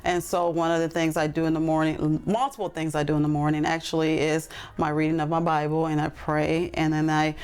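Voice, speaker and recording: A woman's voice: sits low, little pitch movement, fairly quick, few pauses, a little mellow, smooth. A woman talking earnestly and reflectively as a podcast guest, with frequent ums and restarts. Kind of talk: casual interview talk.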